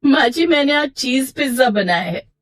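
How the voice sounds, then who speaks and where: A woman's voice: high voice, lively intonation, normal speed. A woman voicing a cheerful, stubborn young daughter-in-law, sweet but insistent, in a moral story. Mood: obliging. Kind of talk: acted moral story for children.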